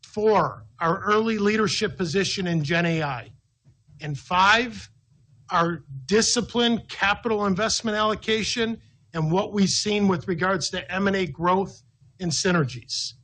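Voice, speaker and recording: A man with a notably high voice speaks as a company finance executive. He lays out his business briefing point by point, methodical and emphatic. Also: measured pace, bright, forceful tone. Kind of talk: business briefing, answering an analyst with numbered points.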